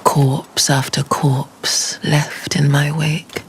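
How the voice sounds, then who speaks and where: An actress playing a weary, proud warrior demigoddess in a fantasy game, speaking low and breathy in a solemn, restrained, measured way. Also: female voice, flat delivery, exaggerated voice. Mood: mournful, weary. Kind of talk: dramatic fantasy game acting. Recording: noisy ambience behind the voice.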